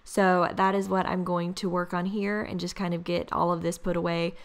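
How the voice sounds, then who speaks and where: A young woman narrates her cleaning routine in a chatty, step-by-step way. Her voice is raspy and scratchy from a cold, low-set and gravelly with fry. She keeps a fairly flat, even tone. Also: normal speed. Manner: chatty, explains each task step by step. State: hoarse from a cold. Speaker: cleaning vlogger. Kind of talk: casual voice-over narration.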